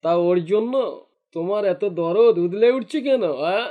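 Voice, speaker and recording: Man acting as greedy cartoon fisherman, fairly high voice, jealous, grumbling, indignant, dull lo-fi sound. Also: moderate pace, close to an everyday voice.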